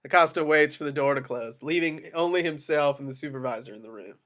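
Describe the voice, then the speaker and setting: Guy w a kinda high voice reading out movie script action lines. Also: fairly quick, fairly bright tone. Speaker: narrator of action descriptions.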